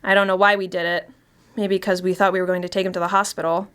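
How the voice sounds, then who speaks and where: A woman's voice: fairly low pitch, level tone, fast, a bit bright, clean tone, close to an everyday voice. A woman actor playing a chatty, darkly gleeful killer confessing in a first-person mystery story, in a conversational, confiding way. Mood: confiding, uneasy. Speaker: actor reading a short story.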